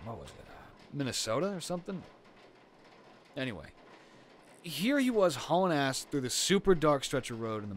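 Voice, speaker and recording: A man playing an easygoing, affectionate young boyfriend in an intimate roleplay audio, telling ghost stories in a casual, slangy way with thinking pauses. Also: lowish voice. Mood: relaxed, reminiscing. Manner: casual, slangy, hesitant pauses, storytelling aloud. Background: ambient noise of a storm.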